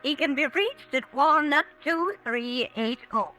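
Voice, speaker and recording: A woman's voice: high-pitched, lively intonation, a little slow, pushed and bright, heavily acted. A woman acting a female role in a 1940s radio melodrama. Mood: polite. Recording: old radio recording with music.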